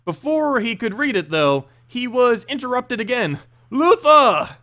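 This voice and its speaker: Animated, high-pitched man reading fantasy. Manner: halting, stumbling over the text. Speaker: narrator. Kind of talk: reading a fantasy story aloud.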